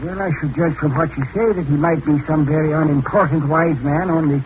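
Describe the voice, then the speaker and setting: A crusty old hermit acted by a man, high in pitch and given to pious, folksy grumbling. He talks in quaint turns of phrase, in the manner of radio adventure serials. The recording has some noise behind it. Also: fairly even intonation, brisk, natural voice. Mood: solemn. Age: older.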